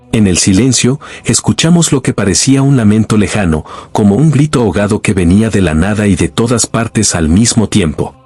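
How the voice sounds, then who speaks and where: Husky low-voiced man narrating horror. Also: a little mellow, no echo. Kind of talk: scripted first-person horror narration.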